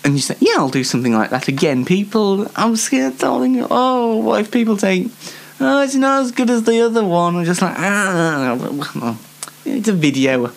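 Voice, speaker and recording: A man's voice: high voice, animated, moderate pace, creaky. A young man hosting a comedy web show, chatting casually to viewers in a rambling, hesitant, self-deprecating way with dry mock indignation. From Yorkshire, England.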